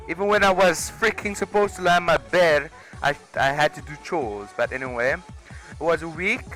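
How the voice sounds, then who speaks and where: Young guy telling a story, kinda high bright voice, hammy emphasis, music behind him. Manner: animated, exaggerated comic emphasis. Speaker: video creator. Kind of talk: casual personal storytelling.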